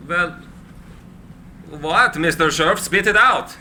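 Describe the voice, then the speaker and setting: An actor playing an eccentric, idealistic inventor in a live screenplay reading, theatrical and earnest. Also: male voice, a little high, slow pace, pushed and bright, a little hiss or hum, heavily acted. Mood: brisk concern.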